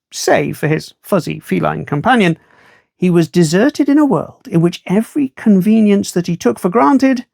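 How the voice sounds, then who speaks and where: A storyteller reads a children's tale aloud with expressive, measured delivery and a wry touch. The voice has a noticeable creak and sits in a very dry recording with little room sound. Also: medium pitch, no room sound.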